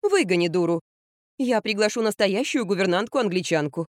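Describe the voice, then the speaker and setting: A woman's voice: mid-range voice, lively intonation, normal speed, no room sound, clean studio sound, natural voice. A woman voicing an insistent older grandmother, pleading and coaxing her daughter in a raised, emphatic voice. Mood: bossy.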